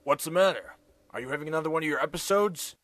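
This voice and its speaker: A man's voice: fairly high pitch, fast, fairly bright tone, no echo, clean studio sound, natural voice. A man voicing a rugged, casual smoker with a wry, weary manner in an amateur visual novel dub. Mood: concerned, loud. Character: calm rugged man who smokes, Yomogi Seiji. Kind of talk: anime visual novel fandub acting.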